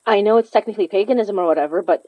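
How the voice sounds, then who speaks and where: A woman acting a grieving, conflicted young daughter in an audio drama, speaking intimately and haltingly with a Filipino accent. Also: medium pitch, mellow, dry recording, natural voice. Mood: sad, longing. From Philippines. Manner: halting, sighing, confessional. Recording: slightly dull, lo-fi, dry recording.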